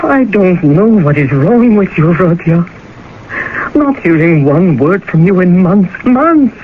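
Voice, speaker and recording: A plaintive, slow-spoken doting mother. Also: fairly low pitch, expressive. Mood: sorrowful. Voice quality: plaintive, fretful delivery. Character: anxious, doting, sorrowful mother of a grown son. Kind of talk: radio drama acting. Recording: muffled vintage radio recording, faint noise.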